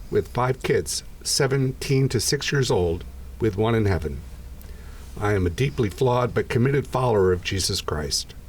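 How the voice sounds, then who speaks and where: A man in his seventies with a somewhat low voice. He speaks in a personal, recorded conversation with another person. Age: 70s. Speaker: conversation participant.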